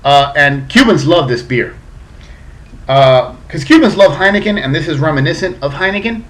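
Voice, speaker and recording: A man's voice: on the high side, lively intonation, moderate pace, a bit bright. A man in his thirties from South Florida, a little drunk, casually reviewing a beer on his webcam in a chatty, profane way. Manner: chatty, profane, a bit tipsy. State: slightly drunk.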